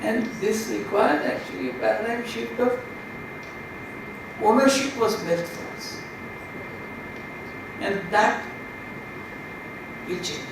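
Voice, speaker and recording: A woman's voice: on the high side, slow, long pauses. A woman on a conference panel giving a reflective, spontaneous explanation. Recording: very echoey, distant hall mic, noisy.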